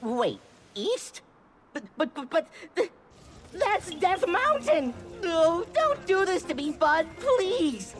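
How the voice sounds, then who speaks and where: A woman voicing a small, cowardly male forest creature in a video game, with an excitable, stammering, grumbling manner. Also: extremely high pitch, takes it slowly, over music, exaggerated voice. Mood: panicked. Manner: excitable, stammering, dramatic whining.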